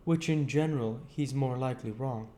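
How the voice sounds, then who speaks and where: A man's voice: mid-range voice, normal speed, warm tone, slight background noise, voice pushed up, lightly acted. A man voicing a kindly, uneducated country man from a novel, earnest and argumentative, with rustic, emphatic phrasing. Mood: earnest, gently insistent. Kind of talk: audiobook character voice.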